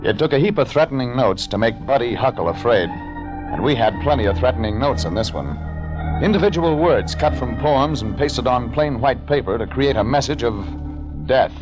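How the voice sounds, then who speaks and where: Cynical male private eye played by an actor, animated mid-pitched voice, muffled vintage radio with music. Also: normal speed, natural voice. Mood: wry narrating. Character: wry, cynical hardboiled male private investigator. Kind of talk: 1940s radio detective narration and acting. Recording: dull vintage radio recording, organ music.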